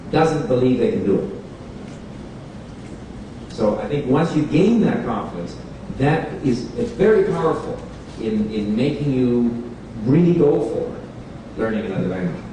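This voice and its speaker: Man at a bookstore event, chatty talker, mid-range voice, picked up far off in a reverberant space. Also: mid-range voice. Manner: relaxed, chatty, switches languages, asks the audience questions. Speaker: speaker giving a talk on language learning. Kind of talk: informal public talk to an audience. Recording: echoey room recorded from far off, background noise.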